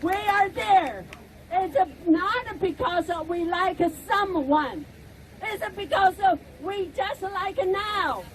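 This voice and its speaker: A middle-aged woman with a Chinese accent gives an impassioned rally speech outdoors. Her voice sits very high, and she speaks in slow, deliberate phrases that swell into shouts. Faint traffic hums behind her. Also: crowd noise. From China. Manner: emphatic, deliberate, rising to shouts.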